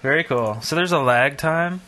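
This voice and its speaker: A man's voice: a little high, moderate pace, pushed and bright. A man co-hosting a podcast in casual banter with his fellow hosts. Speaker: podcast co-host.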